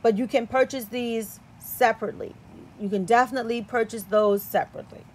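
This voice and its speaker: Excitable, quirky woman for a casual indoor product chat; slightly high pitch, faint background hiss. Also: fairly quick. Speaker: product reviewer. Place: indoors at a table.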